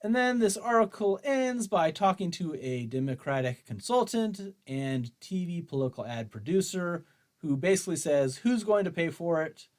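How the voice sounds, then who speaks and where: Male commentary host, slightly high voice, argumentative and mocking as he reads and reacts. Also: animated, moderate pace. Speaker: host of a commentary show on guns. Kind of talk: opinion commentary, reading an article aloud and reacting.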